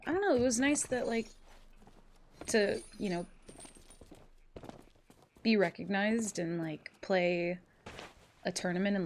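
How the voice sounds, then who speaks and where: A woman's voice: lowish voice, slight background noise. A woman streamer with a stuffy, congested voice, chatting casually and thanking viewers, rambling with lots of fillers and swearing. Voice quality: nasal, congested. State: stuffy nose. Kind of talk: casual live-stream chat, reading chat aloud.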